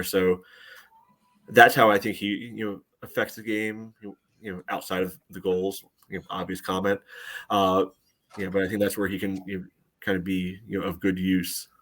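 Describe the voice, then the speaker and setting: A man's voice: low voice, flat delivery, normal speed, fairly bright tone. A man co-hosting a podcast and analysing soccer in casual chat, in hesitant, choppy phrases peppered with "you know".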